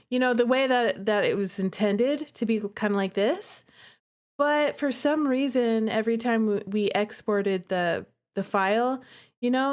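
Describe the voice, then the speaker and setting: A woman hosting a livestream, chatting casually and playfully to her viewers as she comments on a game. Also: a little high. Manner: playful, animated, chatty, addressing viewers directly. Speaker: streamer and visual novel creator hosting a playthrough. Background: music playing behind the voice.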